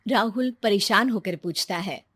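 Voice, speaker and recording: A woman's voice: mid-range voice, unhurried, fairly bright tone, husky, dry recording, studio quality. A woman reading a scripted story as its narrator.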